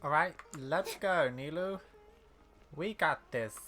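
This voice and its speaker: A woman's voice: deep voice, slow, a bit bright, faint music behind. A woman casually commenting as a game streamer during a playthrough. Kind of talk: casual playthrough commentary, reading dialogue aloud.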